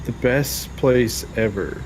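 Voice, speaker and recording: A man's voice: mid-range voice, takes it slowly, background noise. A man chatting casually with friends while driving in a truck game, rambling through a story. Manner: rambling, restarts stories. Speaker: convoy player. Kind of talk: casual chat over voice chat.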